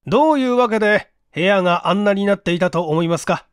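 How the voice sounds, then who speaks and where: A woman's voice: medium pitch, fast, clear, resonant tone, no room sound, studio quality, close to an everyday voice. A woman voicing a stern male detective chief in a mystery story, with curt, authoritative questioning.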